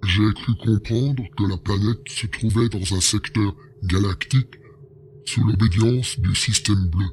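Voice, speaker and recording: Low slow space agent, grave and measured, a man acting; faint hum. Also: a little mellow. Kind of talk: amateur audio drama acting.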